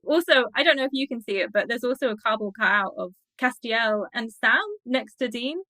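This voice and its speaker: A woman with a fairly high, very bright voice, speaking as a guest in a casual interview. She is chatty and enthusiastic, and the sound is dry and close. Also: fairly quick, no echo. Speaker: interview guest, academic and podcaster. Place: indoor office on a video call.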